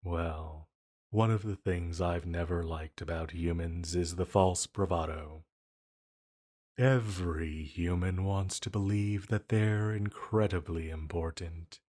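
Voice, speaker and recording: An ancient banished villain acted by a man speaks in a very low voice at an unhurried, deliberate pace. He is silky and intimate, flirting with a condescending, dangerous charm, as in an audio drama. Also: fairly even intonation, a little mellow. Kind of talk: roleplay audio drama monologue.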